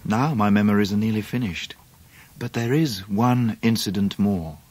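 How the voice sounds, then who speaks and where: An actor playing a gentle, devoted English gentleman recalling his life in a radio drama, with measured literary phrasing. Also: lowish voice, a little hiss or hum, close to an everyday voice. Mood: reflective, tender. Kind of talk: 1990s radio drama narration.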